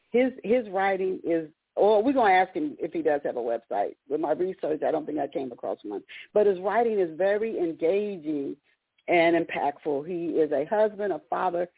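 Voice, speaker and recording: A woman's voice: lowish voice, creaky, slightly rough, no echo. A woman hosting a book interview show, introducing her guest with chatty, repetitive phrasing. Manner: warm chatty introductions with repeated words and restarts. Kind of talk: radio interview call-in. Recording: dry tinny phone line.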